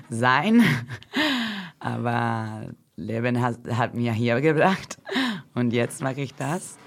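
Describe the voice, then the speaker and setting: Very low-voiced Brazilian woman, slow German. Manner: simple non-native German, slips into English words. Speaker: guest, activist.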